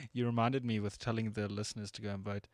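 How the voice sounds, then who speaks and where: A laid-back man co-hosting a sports show, easily amused by his partner's jokes. His voice sits on the low side. The recording is dry and close. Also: a little fast, dry recording. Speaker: co-host and producer. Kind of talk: casual radio chat.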